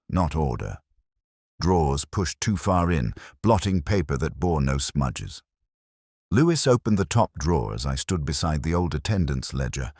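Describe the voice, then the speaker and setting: A man voicing a pensive, wry police inspector who narrates a mystery story in a measured, literary, deliberate way. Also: extremely low pitch, no room sound, clean studio sound, full character voice. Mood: wry, observant. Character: pensive, wry older English male police inspector narrating his case. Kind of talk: scripted detective story narration.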